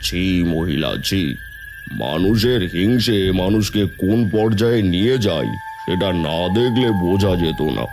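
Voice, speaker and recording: A man's voice: low voice, animated, unhurried. A man voicing a stern, punishing holy man with magical powers in a cartoon fairy tale. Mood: stern, judging. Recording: music bed under the voice.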